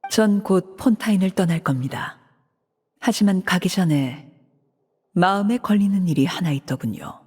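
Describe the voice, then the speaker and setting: A woman voicing a cold, composed female leader in a video game, speaking in a measured, formal, controlled way. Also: fairly low pitch, animated, a little mellow, husky. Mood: cool. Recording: reverberant game audio.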